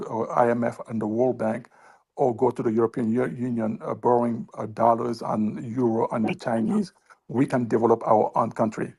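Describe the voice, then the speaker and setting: A man from West Africa asking a long question from the audience, pausing often with "uh" and restarting his sentences. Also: medium pitch, vocal fry, darkish tone, slightly rough. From West Africa, Senegal or Mali. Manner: many "uh" pauses and restarts. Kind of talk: hesitant spoken question.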